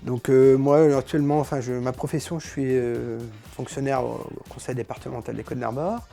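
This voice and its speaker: A man's voice: medium pitch, level tone, moderate pace, gravelly, mellow, soft background music. A man in his late forties giving a casual interview testimonial. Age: late 40s. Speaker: civil servant, former club player.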